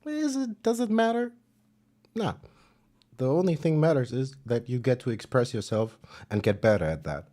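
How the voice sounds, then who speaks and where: A man with a German accent chatting casually on a music livestream, relaxed and self-deprecating, with plenty of fillers. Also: medium pitch, moderate pace.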